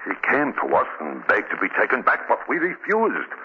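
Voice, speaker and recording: An actor playing a nervous, defensive, scornful chemist in 1940s radio drama, agitated and emphatic. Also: male voice, lowish voice, wildly swooping pitch, normal speed, husky, exaggerated voice. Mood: defensive, dismissive. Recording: muffled, noisy 1940s radio recording.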